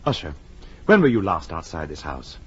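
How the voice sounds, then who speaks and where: A man acting a rational, concerned friend in 1940s radio drama, earnest and theatrical, moving between hushed and urgent tones. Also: very deep voice, big pitch swings, moderate pace, breathy edge, exaggerated voice. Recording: dull, noisy vintage radio recording.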